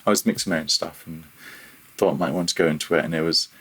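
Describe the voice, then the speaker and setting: A man's voice: low-pitched, steady tone, fast, fairly bright tone, a little hiss or hum. A man chatting casually as an interview guest, thinking aloud in a hesitant, self-deprecating way. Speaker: podcast guest, freelance audio developer.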